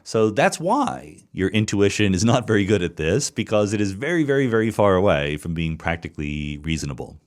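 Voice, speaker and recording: A man's voice: fairly low pitch, sing-song, fairly quick, few pauses. A middle-aged man hosting a podcast, answering listener questions in a thoughtful solo monologue, explaining step by step with frequent restarts and repeated words.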